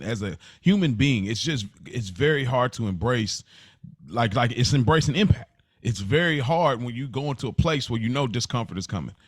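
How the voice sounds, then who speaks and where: A man chatting reflectively as a podcast co-host, halting at times and repeating words for emphasis. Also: mid-range voice, no room sound, studio quality. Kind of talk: casual reflective podcast chat.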